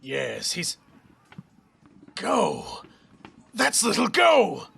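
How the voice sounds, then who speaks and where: A man playing a commanding, hot-blooded fortress captain in an audio drama, with a gravelly, raspy voice and forceful delivery. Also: high-pitched, huge pitch swings, very slow, heavily acted. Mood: tense, awed. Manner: forceful, barking orders.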